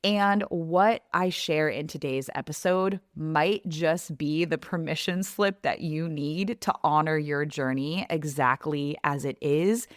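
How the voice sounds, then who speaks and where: A woman in her thirties with a deep, low speaking voice shares a heartfelt podcast monologue. She speaks earnestly and reflectively, straight to her listener. The sound is close and dry, with no room around it. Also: slow pace, few pauses, dry recording. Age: 30s. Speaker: podcast host and coach.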